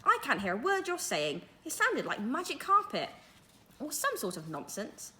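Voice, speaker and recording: A woman's voice: on the high side, huge pitch swings, sharp tone, voice pushed up, exaggerated voice. A woman voicing a flustered, fussy male schoolteacher who snaps and stammers. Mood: snappish. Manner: stammering, brisk then faint.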